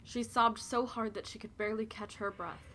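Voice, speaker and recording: A woman's voice: a little high, steady tone, moderate pace, bright, forceful tone, slight background noise. A young woman giving scripted narration for an audio drama about characters, as the storyteller. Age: young adult.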